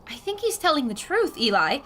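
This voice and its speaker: A woman's voice: sits high, sing-song, fairly quick, fairly bright tone. A woman playing a plucky, eloquent reporter, acted in a dramatic old-time radio adventure manner. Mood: earnest. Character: plucky, eloquent female reporter hungry for a scoop.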